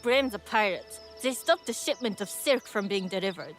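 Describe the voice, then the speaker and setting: A proud, practical shopkeeper acted by a woman in a video game. Her mid-pitched voice moves expressively as she talks trade in a matter-of-fact way, with faint music behind. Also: animated, moderate pace, a bit bright, lightly acted. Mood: proud, blunt. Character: proud, practical female kimono seller, blunt then obliging.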